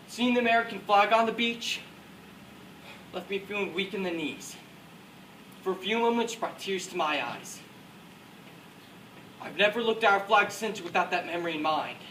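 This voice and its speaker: A man's voice: high-pitched, pushed and bright. A man playing an American Second World War paratrooper officer, recalling battle in an earnest, declamatory stage monologue. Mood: moved, reflective. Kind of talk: rehearsed dramatic war monologue. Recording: distant echoey room, faint noise.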